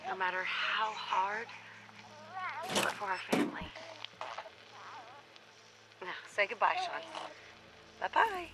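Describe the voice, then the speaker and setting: An actress playing a gentle young mother in a video game, cooing tenderly to her baby. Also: medium pitch, sing-song, slow, pauses often. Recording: noisy, distant game audio playback. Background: loud noise and ambience.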